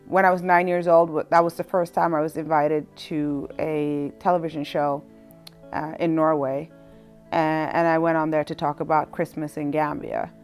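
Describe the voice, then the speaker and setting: A young woman calmly recalling her childhood in a measured interview answer. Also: deep voice. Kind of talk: personal interview recollection.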